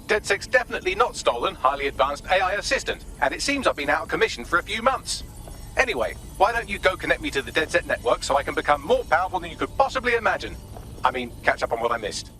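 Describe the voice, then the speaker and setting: A man's voice: on the high side, big pitch swings, quick pace, pushed and bright, exaggerated voice. A man voicing a witty, sarcastic AI assistant with a British accent and a wry, articulate delivery, in a video game. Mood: cheeky.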